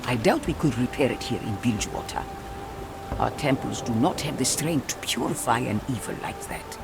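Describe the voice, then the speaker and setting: A man's voice: medium pitch, moderate pace, background noise, natural voice. A man playing a stern, cautious temple elder in a video game, speaking formally and with foreboding. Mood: stern, refusing. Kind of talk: dramatic video game acting. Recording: clear music behind the voice.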